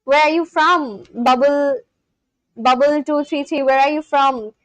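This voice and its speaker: A woman's voice: high voice, fairly even intonation, a little slow, dry recording. A young woman from India with an Indian English accent, chatting casually on a live stream, rambling with lots of fillers and restarts. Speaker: livestream host.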